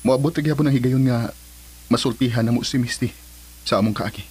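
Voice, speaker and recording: A man's voice: medium pitch, fairly quick, darkish tone, husky, natural voice. An actor with a Cebuano Filipino accent playing an earnest, devoted grown son and businessman in a radio drama. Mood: reassuring.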